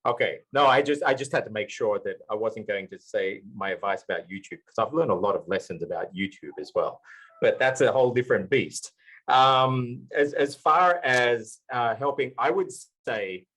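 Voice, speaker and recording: A man's voice: medium pitch, normal speed, fairly bright tone, breathy edge, low-quality recording. A man speaking on a live conference panel, earnestly explaining his ideas with many fillers. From Australia. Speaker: panelist, language YouTuber.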